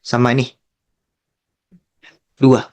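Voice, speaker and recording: A man with an Indonesian accent tutoring calculus, working slowly through a graph problem, repeating key terms and thinking aloud. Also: mid-range voice, monotone, a little mellow. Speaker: math tutor.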